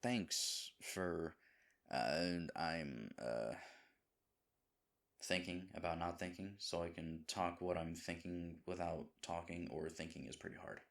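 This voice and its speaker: Deep-voiced, flustered himbo boyfriend, acted by a man. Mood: nervous, earnest. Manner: halting, rambling, flustered. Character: sweet, dim himbo boyfriend, flustered and earnest. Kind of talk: audio roleplay acting, intimate one-sided dialogue.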